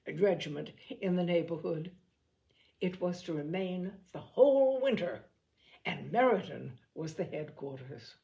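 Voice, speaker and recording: Expressive woman narrator with lively pitch. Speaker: audiobook narrator. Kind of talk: expressive literary storybook narration.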